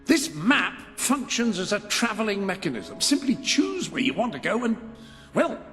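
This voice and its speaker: An actor playing a fussy, learned and loyal British butler in a video game, formal and wordy. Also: male voice, sits high, big pitch swings, normal speed, bright, forceful tone, faint music behind, full character voice. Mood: marvelling, proper. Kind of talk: video game cutscene acting.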